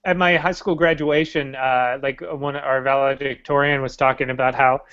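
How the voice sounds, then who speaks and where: A man's voice: medium pitch, flat delivery, moderate pace, fairly bright tone, smooth, dull audio. A man in his late twenties, co-hosting a podcast, telling jokey, profane stories with laughs. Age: late 20s. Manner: joking, laughing, profane storyteller. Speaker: podcast co-host. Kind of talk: casual chat.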